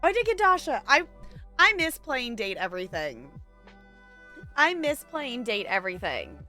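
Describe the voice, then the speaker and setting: A woman with a bright, forward voice pitched a little high, talking like a game streamer to viewers. The delivery is chatty and loosely rambling, with playful digs at oneself, over some background music. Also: huge pitch swings, unhurried. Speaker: video game live streamer. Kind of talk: casual livestream chat, answering viewers. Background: music behind the voice.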